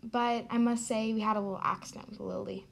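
A woman's voice: a little high, fairly even intonation, brisk, creaky, slight background noise. A woman playing a chatty, sardonic narrator who hosts an amateur radio drama. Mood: gossipy. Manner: sardonic, informal. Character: chatty, sardonic story narrator hosting the show. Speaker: host.

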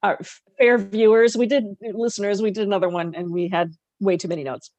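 Woman, medium pitch, expressive read-aloud narration, conversational podcast host, very dry room. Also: a little fast, no room sound.

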